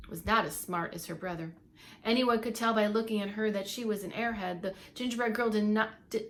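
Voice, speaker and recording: A woman narrating a children's story aloud like a preschool teacher, with animated, dramatic emphasis. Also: mid-range voice, slight background noise.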